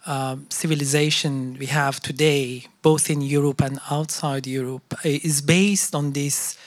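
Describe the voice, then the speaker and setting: A panel speaker who sets out ideas in a halting, thoughtful way, with many pauses and restarts. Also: mid-range voice, steady tone, no room sound. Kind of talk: reflective discussion.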